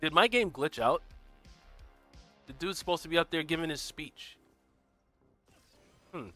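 A man hosting a relaxed gameplay stream, friendly with his chat and chatty about gear and builds. His voice sits a bit high for a man and comes across bright and forceful, with a slightly stuffy, cold-touched sound. Faint music plays beneath him. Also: a little slow. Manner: friendly, greets chat viewers by name; walks through game build details. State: stuffy from a cold, occasional throat clearing. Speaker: gaming livestream host. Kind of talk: casual live gameplay commentary.

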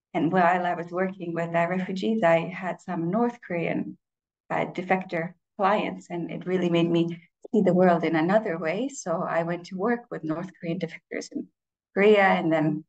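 Woman, from Estonia, conference speaker, fry in the voice, thoughtful, pausing. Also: fairly low pitch, rough recording. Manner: reflective, with frequent filler pauses and restarts. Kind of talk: personal presentation talk.

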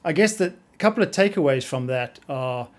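A middle-aged man from South Africa with a South African accent, a guest coach telling his career story in a measured, reflective way in a small podcast studio on a studio mic. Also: a little high, lively intonation. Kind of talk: casual podcast conversation. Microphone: studio mic on boom arm.

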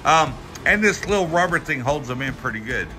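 A middle-aged American man giving a casual, folksy hands-on product review, talking in a halting, rambling way with repeated words. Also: on the high side, animated, bright, forceful tone, soft background music. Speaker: tool review channel host. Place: indoors at a workbench.

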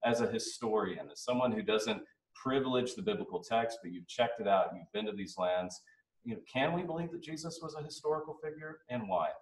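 Man, thirties, radio panel host, medium pitch, roomy distant sound. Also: expressive, brisk. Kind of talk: live radio panel hosting, addressing listeners. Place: classroom at a seminary, small audience. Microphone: desk microphone on boom arm. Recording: roomy echo, somewhat distant.

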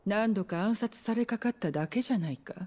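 An actress playing an eccentric, self-assured military scholar in a video game, cool and pompous with blunt phrasing. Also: female voice, medium pitch, lively intonation, brisk, a little mellow, lightly acted. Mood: wry, haughty. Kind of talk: Japanese video game cutscene acting.

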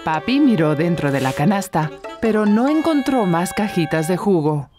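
A woman's voice: on the low side, sing-song, normal speed, over music. A woman narrating a children's cartoon as a kindly storybook narrator. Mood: storytelling.

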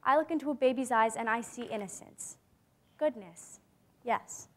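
A young woman playing an earnest, hopeful teenage girl in a staged dramatic reading, measured in her delivery. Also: a little high, fairly bright tone. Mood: resolute. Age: young adult. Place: theatre stage before a seated audience. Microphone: clip-on lapel mic.

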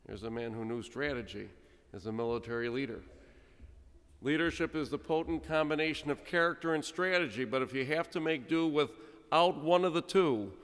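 A middle-aged man, a priest, giving an animated, humorous sermon at a pulpit microphone, with sudden quiet drops. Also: mid-range voice, clear, resonant tone. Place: large church, speaking at a pulpit. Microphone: stand mic at pulpit. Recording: long church reverb.

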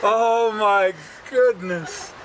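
A man's voice: high voice, drawn-out words, a bit bright, slight background noise, rough recording. An older man outdoors by a camper, exclaiming in amazement. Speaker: friend.

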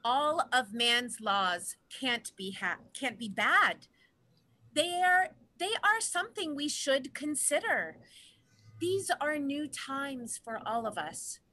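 Earnest animal peacemaker, female actor, high voice, slow, measured, stage drama. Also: big pitch swings, sharp tone, heavily acted. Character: Ice Traveler, earnest peace-seeking animal council member. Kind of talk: dramatic play reading.

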